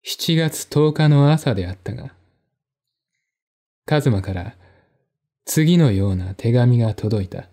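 A man narrates a mystery novel in a literary, storytelling style. His voice is dark and relaxed, of middling pitch. He takes his time, with a measured and deliberate delivery. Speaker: audiobook narrator.

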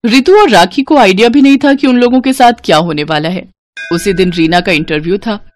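A woman's voice: mid-range voice, a little fast, slight background noise. Woman narrating a moral story.

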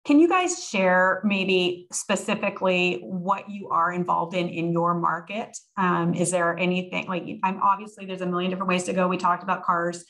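Woman in her forties, low-voiced, upbeat panel moderator on a webcam. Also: fairly even intonation, moderate pace, few pauses, a bit bright. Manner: upbeat, asks rambling, loosely built questions. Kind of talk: moderating a panel on a video call. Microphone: video call webcam.

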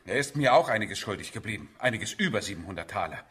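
An actor playing a hot-tempered, gruff middle-aged father in a German radio drama. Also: lowish voice, animated, fast, fairly bright tone. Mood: indignant. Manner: gruff, scolding.

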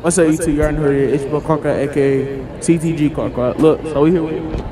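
A teenage boy with a somewhat high voice runs a casual interview at his school. He talks loosely in slang and speaks into a phone he holds up. Students chatter loudly behind him in the hall. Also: steady tone, fast talker. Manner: loud, slangy, laughing a lot. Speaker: host. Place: school hallways and gym, indoors. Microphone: phone held up to mouth. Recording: noisy with chatter of a crowd behind.

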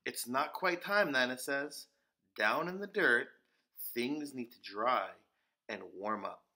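A man with a bright, clear-cut voice reads a picture book aloud for young children. He takes his time over the phrases and leans on key words with deliberate, theatrical emphasis. His pitch sits in the middle of the male range. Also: expressive. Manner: deliberate, dramatic emphasis with occasional whispers and exclamations. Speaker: storyteller reading a picture book aloud for preschoolers.

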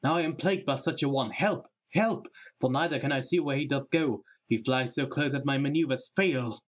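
A man's voice: medium pitch, moderate pace, natural voice. A man playing a loyal rebel fighter pilot in an audio drama, speaking in Elizabethan verse. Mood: panicked.

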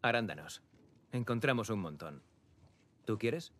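An actor with a Castilian Spanish accent playing a young, protective survivor in a video game dub, casual and streetwise. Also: male voice, lowish voice, expressive, a little slow, a bit bright. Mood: relaxed. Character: young male survivor, protective, easygoing older brother.

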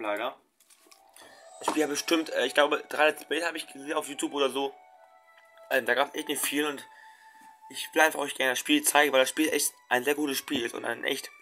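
A young man rambles through casual let's play commentary, stacking fillers and restarting his sentences as he goes. His voice sits at an ordinary middle pitch. Soft game music plays quietly under his voice.

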